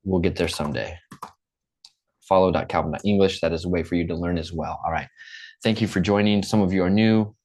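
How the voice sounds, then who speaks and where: A man's voice: sits low, fairly even intonation, brisk, darkish tone. A young American man from the Midwest teaching an English class online, upbeat and checking in often. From Midwest United States. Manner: upbeat, repeats and checks in with "okay?".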